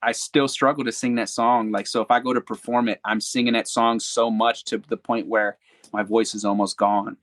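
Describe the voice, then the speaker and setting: A man's voice: medium pitch, flat delivery, normal speed, fairly bright tone, dry recording. A man on a podcast as a guest artist, talking in a candid, rambling way with restarts and fillers. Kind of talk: casual interview chat.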